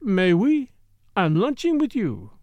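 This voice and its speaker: A man's voice: sits high, huge pitch swings, takes it slowly, darkish tone, no echo, lo-fi sound, full character voice. A man voicing a seductive, mocking Frenchwoman with a put-on French accent, in a teasing murmur.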